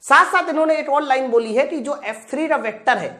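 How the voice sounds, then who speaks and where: A woman's voice: high-pitched, quick pace, fairly bright tone. A woman teaching a science class, asking questions and answering them herself as she addresses her students. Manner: addresses students directly, repeating key points. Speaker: science teacher in an online exam-prep class. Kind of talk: live lesson working through practice questions.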